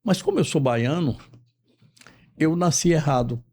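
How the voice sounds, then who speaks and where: A man's voice: a little high, lively intonation, a little slow, a little mellow, slightly rough. A man from Bahia hosting a talk show, chatting in a jokey, rambling way. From Bahia, Brazil. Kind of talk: casual talk show chat.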